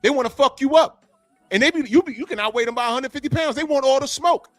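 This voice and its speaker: A man hosting a podcast in a casual, animated and profane way, often acting out quotes as he tells a story. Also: very high voice, fast talker, no room sound, faint music behind. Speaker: podcast host.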